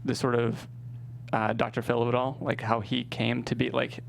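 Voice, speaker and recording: A man's voice: mid-range voice, monotone, normal speed, gravelly. A man being interviewed as a screenwriter, talking casually and explaining his process. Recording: faint hum and background noise.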